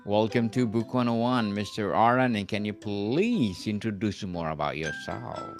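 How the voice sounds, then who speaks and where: A man's voice: fairly low pitch, expressive, moderate pace, music in the background. A man hosting a book podcast who asks his questions formally and politely, calling his guest mister. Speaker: podcast host. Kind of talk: interview hosting.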